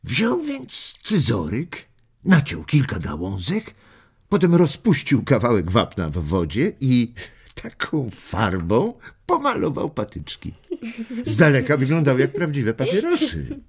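A man playing an amused old grandfather telling stories to children in an audio drama, unhurried, chuckling and expressive. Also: mid-range voice, vocal fry, breathy edge, full character voice. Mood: amused storytelling. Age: older. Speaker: storyteller.

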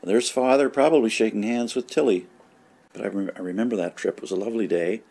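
Older man with a low voice. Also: fast talker, gravelly, mellow. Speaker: local resident recalling the past. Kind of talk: informal reminiscing over old home movies.

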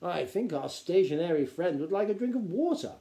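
A man voicing a gnome count in a storybook, theatrical, measured and drily courteous. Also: high-pitched, sing-song, vocal fry, warm tone, pitched above the performer's own voice, exaggerated voice. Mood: dry scepticism.

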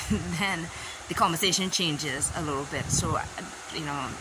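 Low-voiced Barbadian woman chatting outdoors. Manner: relaxed, rambling, many pauses and fillers. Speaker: vlogger. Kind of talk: casual chat to camera. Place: outdoors in a rural yard. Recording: loud outdoor noise behind.

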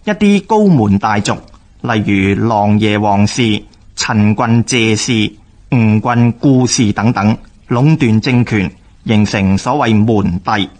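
A man with a Hong Kong Cantonese accent reads scripted historical radio narration in a formal, measured way. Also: on the low side, a little mellow.

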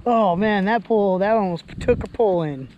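A man's voice: high-pitched, brisk, a little mellow, dull audio. A young man hosting a casual fishing vlog from a lakeshore, chatty and upbeat, laughing often, recorded on a body-worn camera. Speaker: fishing vlogger. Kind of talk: casual outdoor vlog narration. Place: outdoors on a lakeshore. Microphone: body-worn action camera. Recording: outdoor wind and ambient noise.

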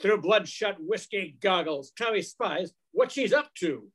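A man's voice: high-pitched, expressive, a little slow, fairly bright tone. A man in his sixties reading scripted narration as the narrator of an old-time radio mystery. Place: living room at home. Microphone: video call.

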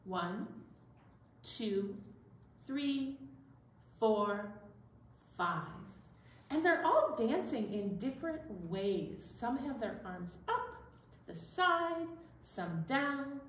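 A middle-aged woman presents a children's storytime activity, speaking directly to young listeners in simple phrases. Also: on the high side. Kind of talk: leading a children's flannel board activity. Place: indoor children's library storytime room. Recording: roomy echo, faint background noise.

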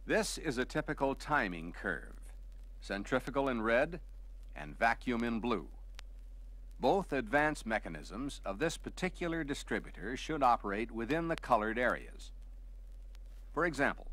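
A man reading scripted technical narration for a vintage instructional film, explaining step by step in a measured, didactic way. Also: medium pitch, fairly bright tone.

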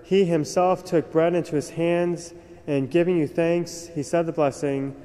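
A young man, a priest, reciting the prayers of Mass at the altar in a measured, solemn way. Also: a little high, flat delivery, mellow. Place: large cathedral, at the altar. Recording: long cathedral reverb.

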